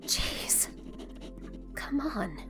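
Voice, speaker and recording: Woman playing an unsure, slow-spoken young woman. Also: fairly bright tone, soft background music. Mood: sullen. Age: young adult. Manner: hesitant, halting. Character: unsure, reluctant young female novice hero. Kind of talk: audio drama acting.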